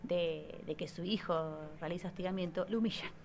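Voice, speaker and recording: A woman's voice: fairly low pitch, moderate pace, creaky, a little mellow, slight background noise. A woman with an Argentine accent talking conversationally as an expert radio guest, explaining things and often checking with little tag questions. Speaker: psychologist guest columnist.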